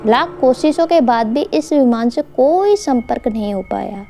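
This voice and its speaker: High, mellow-voiced woman telling mystery stories. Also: expressive, moderate pace. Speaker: storyteller, channel host. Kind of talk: narrating a mystery story for online video. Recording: faint background noise.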